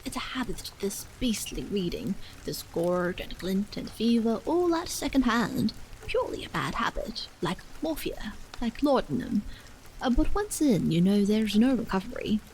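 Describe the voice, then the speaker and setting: A woman voicing an amiable, bookish gentleman in a story, genteel and wry in a measured way. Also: mid-range voice, slight background noise, close to an everyday voice, low-quality recording. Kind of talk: audiobook character dialogue. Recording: steady rain sound over the voice.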